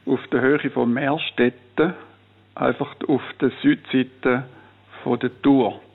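Swiss German man, middle-aged, radio caller, gravelly and husky voice, short hesitant replies. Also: mid-range voice, fairly even intonation, slow, phone-quality sound. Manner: brief, hesitant answers. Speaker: quiz contestant caller.

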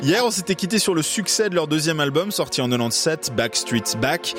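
A man's voice: a little high, fairly quick. Chatty man over studio music. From Switzerland. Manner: lively, jokey, with many fillers and restarts. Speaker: radio host. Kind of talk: radio music chronicle with banter.

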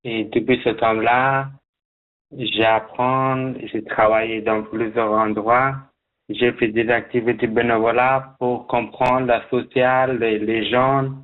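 A man from Afghanistan, speaking as a guest in a radio interview, halting, with many restarts. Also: medium pitch, level tone, phone-quality sound. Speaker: guest job seeker.

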